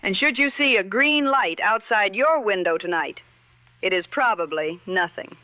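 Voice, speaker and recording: A woman playing a poised 1960s radio co-host, reassuring and practical with deadpan wit. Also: mid-range voice, normal speed, no room sound, lo-fi sound, close to an everyday voice. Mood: deadpan, wry. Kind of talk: 1960s radio broadcast acting. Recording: dry, band-limited vintage radio sound.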